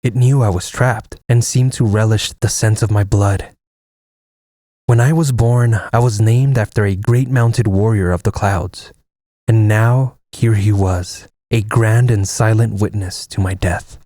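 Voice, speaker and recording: A man playing a stoic, fatalistic Navajo army air force lieutenant in an audio comic, solemn and measured. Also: lowish voice, fairly even intonation, mellow, no room sound, studio quality, lightly acted. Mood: resigned, calm. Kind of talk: dramatic first-person narration and dialogue in an audio comic.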